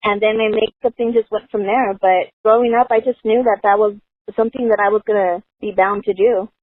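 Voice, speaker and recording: A woman's voice: medium pitch, fairly even intonation, brisk, no room sound. A woman from the Los Angeles area, a guest who tells her story in a chatty, casual way and often says "you know". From Los Angeles area, United States. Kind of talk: casual conversation. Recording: dry, phone-like narrow sound.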